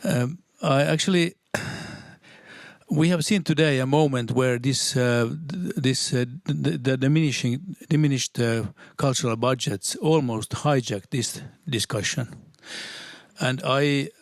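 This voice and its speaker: Halting Swedish-speaking man, dry sound. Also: medium pitch, no room sound. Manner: halting, many restarts, earnest and persuasive. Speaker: audience member at a seminar. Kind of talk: spontaneous public comment.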